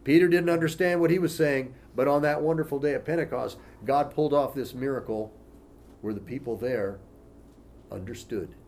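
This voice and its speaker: A man of middle pitch teaching a congregation in an easygoing, conversational manner. He reads scripture aloud, then drifts into loose asides full of "you know" fillers. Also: normal speed, darkish tone, slight background noise. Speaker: pastor.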